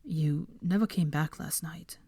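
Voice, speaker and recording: A woman voicing a cool, concerned young man in an audiobook, quiet and restrained, with a drawl. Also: deep voice, a little slow, vocal fry, breathy edge, no room sound, heavily acted. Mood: quietly apprehensive.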